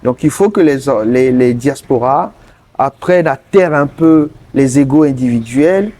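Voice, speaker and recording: Earnest man, mellow voice. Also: medium pitch. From Togo. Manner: earnest, pauses often mid-sentence to find words. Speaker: interview guest, politician. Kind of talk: earnest interview answers.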